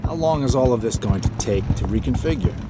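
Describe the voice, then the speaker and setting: A man's voice: medium pitch, expressive, quick pace, lightly acted. Audio drama skipper male actor: middle register, hot-headed, skeptical, sarcastic delivery over prominent music. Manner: blunt, sarcastic.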